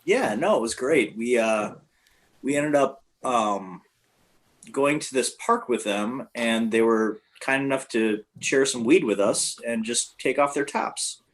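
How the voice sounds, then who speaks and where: Man, rambling storyteller, ordinary pitch, lots of fillers. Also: a little slow. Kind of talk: casual storytelling.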